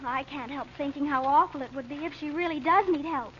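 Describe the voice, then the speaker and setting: An actress playing an earnest, eager teenage daughter in an old-time radio drama. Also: female voice, high-pitched, a little fast, fairly bright tone. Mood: worried. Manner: earnest, girlish, polite. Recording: dull, slightly noisy old radio recording.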